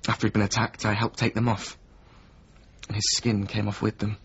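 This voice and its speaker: An actor playing a weary, resentful former apprentice of a painter in a naturalistic radio drama, with a husky voice. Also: male voice, fairly low pitch, monotone, a little fast, no echo. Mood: grim, subdued. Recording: slightly muffled radio drama recording.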